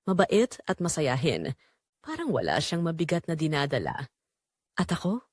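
A woman reading a scripted first-person dramatic story in an emotive, measured storytelling manner. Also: low-pitched, huge pitch swings, a little mellow, slightly rough, dry recording, clean studio sound. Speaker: narrator.

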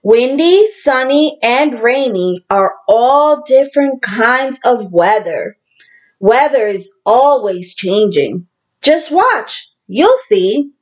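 Female primary teacher for picture-book read-aloud. Very slow, deliberate delivery, animated storytelling, slightly muffled lo-fi sound. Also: a little high. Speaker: teacher reading a picture book to young children. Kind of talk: children's read-aloud with asides to listeners.

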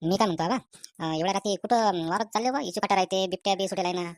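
A woman voicing an excitable, superstitious young village man with a rural Vidarbha Marathi accent in comic cartoon dialogue. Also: mid-range voice, very fast, dry recording, studio quality, lightly acted. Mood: nervous.

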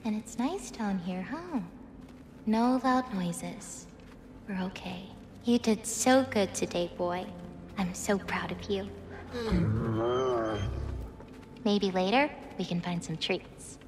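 Gentle, unhurried teenage girl. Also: medium pitch, expressive, noisy background. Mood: soothing, affectionate. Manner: soft, tender, coaxing. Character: gentle, nurturing, self-possessed teenage girl giantess. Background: music.

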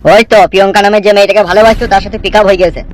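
Man doing a squeaky-high cheeky cartoon lackey. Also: steady tone, rapid-fire, close to an everyday voice. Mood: cheeky reporting. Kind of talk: Bengali comedy cartoon dubbing.

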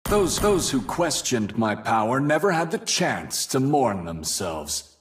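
Video game conqueror: haughty, domineering celestial warrior, theatrical and measured, with very animated pitch. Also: male voice, slight background noise. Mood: proud, commanding. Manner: grandiose, theatrical, boastful declarations. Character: proud, domineering male Capricorn star warrior, boastful conqueror. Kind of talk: video game hero voice lines. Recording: game music and battle effects behind.

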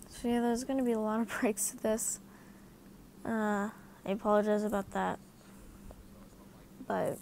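A young woman narrates her drawing video at a slow, dragging pace, sounding tired and trailing off into fillers. Her voice sits a little high, close on the mic, with a faint hiss in the background. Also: dark tone. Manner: rambling, filler-heavy, often apologizing. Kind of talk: casual voice-over narration while drawing. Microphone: mic right next to her mouth.

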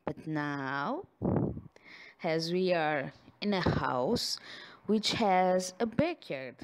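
A woman's voice: fairly low pitch, animated. A woman who is not a native English speaker, doing an affectionate ASMR girlfriend roleplay addressed straight to the listener. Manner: slow, affectionate, with frequent sighs and giggles.

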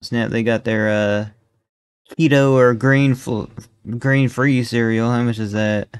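A man's voice: medium pitch, steady tone, measured pace, clear, resonant tone. A young man giving laid-back, slangy reaction commentary as a streamer at his desk. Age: young adult. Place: indoor room at a desk.

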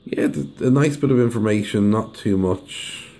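A hoarse man, chatting casually through an unboxing review and humming as he unwraps things. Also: on the low side, normal speed, warm tone. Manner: chatty, hums while unwrapping. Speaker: deck reviewer. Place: indoors at a table. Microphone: camera over the table filming hands.

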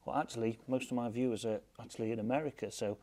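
A man's voice: mid-range voice, steady tone, quick pace, warm tone. Enthusiastic British man presenting a product. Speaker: audio shop presenter. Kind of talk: product review narration to camera.

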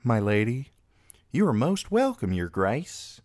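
A man's voice: mid-range voice, big pitch swings, takes it slowly, darkish tone, voice pushed up. A man voicing a feisty, argumentative young teenage girl in a storybook reading. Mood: courteous. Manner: insistent, questioning, argumentative.

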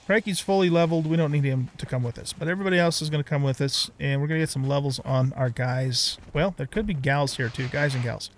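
A man's voice: a little high, quick pace, few pauses, a little hiss or hum. A middle-aged man giving casual gameplay commentary, rambling and thinking aloud as he hosts a let's play.

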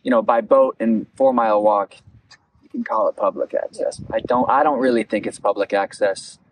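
A man in his twenties hosting a campaign interview outdoors, speaking earnestly and haltingly and often repeating his phrases. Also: medium pitch, fairly even intonation, slight background noise. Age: 20s. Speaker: interviewer, local election candidate. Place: outdoors at a beach picnic table.

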